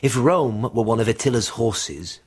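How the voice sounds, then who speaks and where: An actor playing a blunt, hard-bargaining barbarian army commander in a radio drama, measured and weighty. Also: male voice, mid-range voice, animated, darkish tone, husky. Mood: shrewd.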